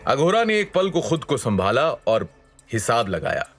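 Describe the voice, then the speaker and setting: A man narrates a fantasy audio series with dramatic, scripted delivery. His voice sits at a medium male pitch, and faint music runs underneath. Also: big pitch swings, measured pace, a bit bright. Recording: music behind the voice. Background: background music.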